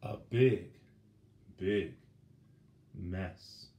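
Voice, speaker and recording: A middle-aged man reading a children's picture book aloud with dramatic pauses, turning to the listeners with questions. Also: low voice, warm tone. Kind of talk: expressive children's storybook read-aloud. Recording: roomy indoor sound with faint background noise.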